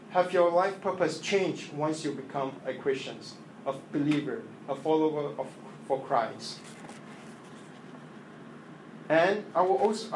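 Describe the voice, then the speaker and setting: A man whose first language is Chinese preaches in English, his voice sitting a little high. He speaks haltingly and earnestly, full of fillers and restarts. The room is echoey, with a faint hum behind. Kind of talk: sermon with opening prayer.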